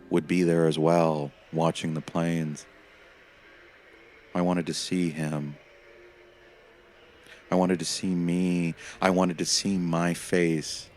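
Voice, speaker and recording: A man performs a haunted, weary prisoner of time, narrating an audio play. The delivery is somber and reflective, kept in a narrow, steady pitch. Faint music sits underneath the measured narration. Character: weary, haunted male time-traveling prisoner recalling his life. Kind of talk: scripted first-person dramatic narration.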